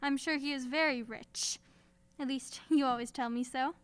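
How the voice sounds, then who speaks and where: A woman's voice: high voice, steady tone, moderate pace, no room sound, natural voice. A woman playing an eager, affectionate young wife in a radio play, exclamatory in delivery. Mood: teasing. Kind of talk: old-time radio play acting.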